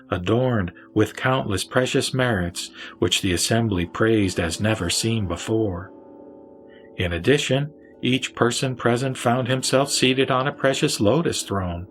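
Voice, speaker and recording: A man reciting scripted Buddhist scripture as narrator, solemn and formal in manner. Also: lowish voice.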